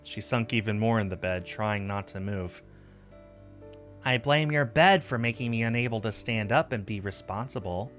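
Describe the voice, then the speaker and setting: A man's voice: on the low side, animated, normal speed, fairly bright tone. A man streaming a visual novel, reading the narration aloud and adding casual asides. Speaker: game streamer. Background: music.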